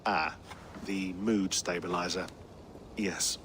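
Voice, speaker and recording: A man's voice: low voice, steady tone, slow, fairly bright tone, a little hiss or hum. A man playing a dry, pedantic, sardonic tech expert in a video game. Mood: cautious, serious. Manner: wry, pedantic. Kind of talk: cinematic video game acting.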